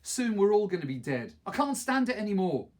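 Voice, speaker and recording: A man's voice: high voice, big pitch swings, brisk, pitched above the performer's own voice. A man voicing an angry, bitter teenage girl leader in a storybook, exasperated and emphatic.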